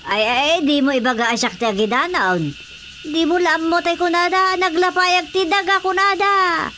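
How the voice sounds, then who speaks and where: A woman's voice: very high-pitched, normal speed, pushed and bright, a little hiss or hum. An actress with an Ilocano accent playing a nosy, outspoken village woman in a radio comedy drama. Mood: chiding.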